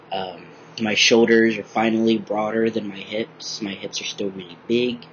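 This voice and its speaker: A young man vlogging in a bright room at home, rambling casually with lots of ums, a little groggy from just waking up. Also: fairly low pitch, background noise. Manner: rambling, many ums, relaxed and candid. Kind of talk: casual personal video diary. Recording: dull lo-fi webcam audio.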